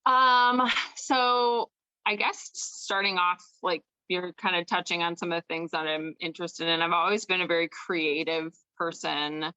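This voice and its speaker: Bright-voiced Chicago woman chatting. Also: on the low side, steady tone, a little slow, smooth, rough recording. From Chicago suburbs. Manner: chatty, lots of "like" and "um", laughs easily. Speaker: guest, makeup artist. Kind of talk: casual interview chat. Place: home with kids nearby.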